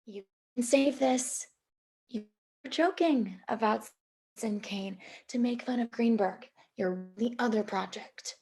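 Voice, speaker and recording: A woman plays a sharp-witted, ambitious female assistant in a screenplay table read. Her voice sits fairly high. She pitches earnestly and persuasively. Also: unhurried, breathy edge. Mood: urgent, coaxing. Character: ambitious, quick-thinking female Hollywood executive assistant.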